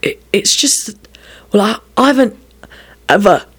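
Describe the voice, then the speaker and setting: A woman's voice: mid-range voice, lively intonation, moderate pace. Woman voicing a touchy, boyish young wizard. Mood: bashful, halting.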